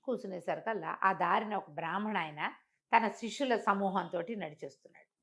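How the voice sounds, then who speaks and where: A woman's voice: mid-range voice, normal speed, fairly bright tone. Woman telling a folk tale conversationally. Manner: explanatory, uses repetition and acts out dialogue in passing. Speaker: storyteller. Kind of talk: conversational oral storytelling of a moral folk tale.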